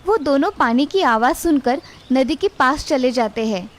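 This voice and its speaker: A woman narrating an animated children's story in a steady, clear storytelling manner. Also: sits high, a little slow.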